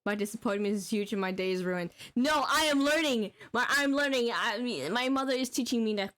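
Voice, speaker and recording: Casting: young female game streamer from Denmark, highish voice, excitable, giggly, sweary, casual chat. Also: fairly quick. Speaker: live streamer. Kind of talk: casual gaming livestream chat.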